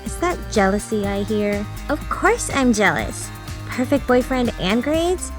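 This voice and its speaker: A woman's voice: a little high, expressive, unhurried. A woman voicing a dramatic, envious teenage schoolgirl in an audiobook, with a teasing, exaggerated way of talking. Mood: teasing, jealous. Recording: music behind the voice.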